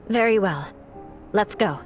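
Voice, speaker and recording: A woman playing a proud, guarded young noblewoman knight in a video game, speaking in a haughty, formal manner. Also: medium pitch. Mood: cool, guarded.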